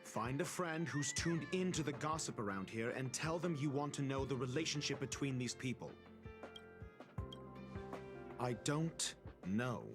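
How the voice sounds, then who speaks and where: An actor playing a cool, calculating investigator in a video game, speaking in a measured, formal, sardonic and theatrical way. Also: male voice, mid-range voice, music in the background, lightly acted. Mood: cool, commanding. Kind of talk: dramatic video game voice acting.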